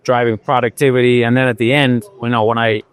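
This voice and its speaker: A man's voice: mid-range voice, little pitch movement, quick pace, clean tone. A man in his sixties from Ireland with a faint Irish accent, an executive answering interview questions in an easygoing way with fillers and restarts. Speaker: company executive guest. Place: trade show floor.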